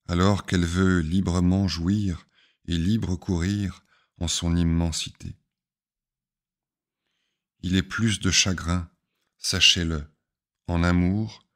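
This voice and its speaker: A man reading spiritual poetry aloud in a solemn, measured recitation, phrase by phrase with deliberate pauses. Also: deep voice. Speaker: reader of spiritual poems.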